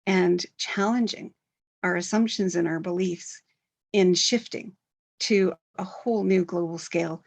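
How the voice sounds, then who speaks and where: A woman, a co-author, speaking earnestly on a video call with slow, emphatic phrasing. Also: fairly low pitch, vocal fry. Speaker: co-author at a book launch. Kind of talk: casual online talk.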